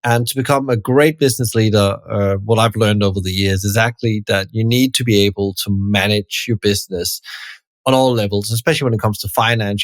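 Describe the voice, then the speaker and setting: A man with a fairly low voice hosts an interview podcast. He speaks in halting, wordy phrases, often doubling back on his words. The sound is dry and close. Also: no echo. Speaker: podcast host.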